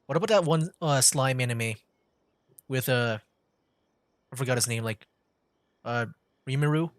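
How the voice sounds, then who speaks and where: A man's voice: medium pitch, unhurried, no room sound, clean studio sound. A man giving casual live gameplay commentary as a streamer, rambling and feeling for his words. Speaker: video game streamer.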